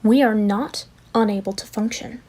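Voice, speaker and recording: A woman leaving an earnest recorded complaint message, speaking in halting, deliberate, formal phrases. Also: mid-range voice, a little mellow. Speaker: voicemail sender.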